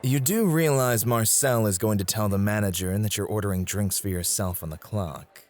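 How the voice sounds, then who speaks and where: A man playing a devoted, quietly possessive club host in an ASMR roleplay, speaking in polite, formal, flowery phrases. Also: fairly low pitch, normal speed, soft background music, natural voice. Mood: irritated.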